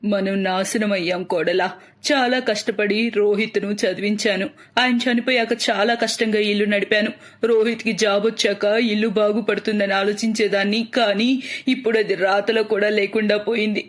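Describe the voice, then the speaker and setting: A woman voicing an expressive, doting middle-aged mother and mother-in-law in a cartoon story. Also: medium pitch, moderate pace, close to an everyday voice. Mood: anxious.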